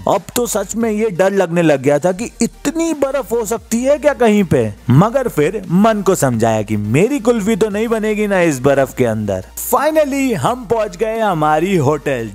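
A man in his thirties narrating his travel vlog as a voice-over, chatty and excited as he tells the story. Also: sits high. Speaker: travel vlogger.

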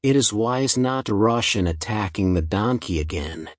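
A woman voicing a deep, sly jackal. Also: expressive, moderate pace, no room sound, studio quality. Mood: scheming. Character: cunning male jackal, scheming and persuasive. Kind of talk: storybook reading.

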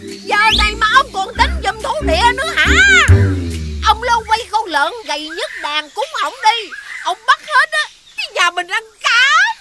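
A woman with a southern Vietnamese accent playing a stingy, scolding peasant aunt in an animated fairy tale, shrill and complaining. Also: normal speed. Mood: indignant, complaining. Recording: loud music behind the voice.

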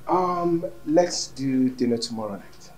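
A man's voice: mid-range voice, expressive, unhurried, close to an everyday voice. A man playing an exuberant, persuasive businessman boss with a Nigerian accent in a screen drama. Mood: casual.

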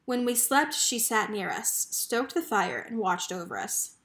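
Higher-pitched woman reading a storybook aloud. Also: lively intonation, unhurried, a bit bright. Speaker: read-aloud narrator. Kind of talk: expressive children's picture book reading.

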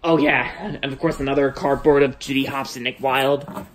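A man's voice: medium pitch, fairly even intonation, moderate pace, a crowd in the background. A young man filming a casual tour of his new house on a handheld phone, walking room to room and talking in a rambling way, with many repeated words and restarts. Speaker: vlogger giving a house tour. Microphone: handheld phone camera held by the speaker, off screen.

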